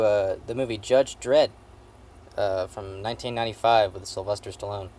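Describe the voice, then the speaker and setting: A man giving a casual, comedic movie review in a rambling, halting way, repeating phrases for emphasis and swearing now and then. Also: mid-range voice, darkish tone.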